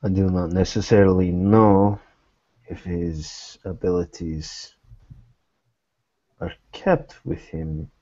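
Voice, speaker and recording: A man improvising in-character dialogue in a tabletop role-playing session, with measured, formal phrasing. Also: low-pitched, steady tone, mellow, muffled recording. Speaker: role-playing gamer voicing a private investigator.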